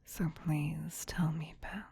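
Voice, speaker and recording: A woman playing a possessive, teasing, soothing vampire queen in a whispered ASMR roleplay, breathy, hushed and doting yet domineering. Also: deep voice, steady tone, takes it slowly, full character voice. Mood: gentle soothing.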